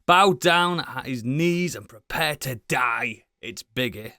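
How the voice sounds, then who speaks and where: A man's voice: medium pitch, expressive, slow pace, bright, forceful tone, no echo, studio quality. A middle-aged man hosting a podcast, bantering with jokey, sweary introductions. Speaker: podcast host. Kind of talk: casual banter.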